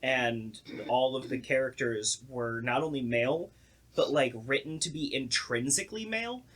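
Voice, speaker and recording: A man's voice: medium pitch, moderate pace, fairly bright tone. A man chatting casually and informally as the director of a radio play, with a sardonic, joking streak. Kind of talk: casual group podcast chat.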